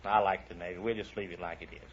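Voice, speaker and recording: A man's voice: deep voice, fairly even intonation, quick pace. A man giving brief, polite answers as a contestant on a vintage radio quiz show. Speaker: contestant, navy sailor. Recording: noisy, dull vintage 1950s radio broadcast.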